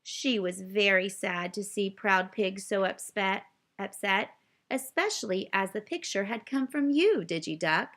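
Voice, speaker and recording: A wise old owl from a children's story as a woman reads him aloud. He is calm and earnest, explaining things in a measured, instructive way. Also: medium pitch. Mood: gently reproving.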